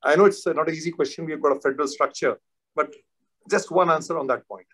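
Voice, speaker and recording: A man's voice: fairly high pitch, quick pace, fairly bright tone, husky. A man in his fifties with an Indian accent, moderating a panel discussion over a video call. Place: room at home, indoors. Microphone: video call, computer mic.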